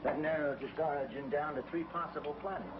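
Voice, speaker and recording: A man playing a confident young space hero in a 1950s TV drama, brisk and reasoning. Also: on the high side, fairly even intonation, lightly acted. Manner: brisk, reasoning, matter-of-fact. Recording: dull old TV print with hiss.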